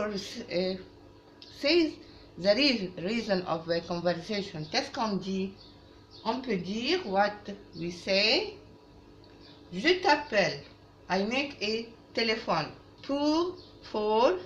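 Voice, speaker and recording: Woman teacher, slow and animated, roomy recording. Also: mid-range voice. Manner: didactic, repeats phrases, switches into halting English. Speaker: French teacher. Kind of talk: language lesson for children, with repetition. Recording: roomy, muffled lo-fi, faint background noise.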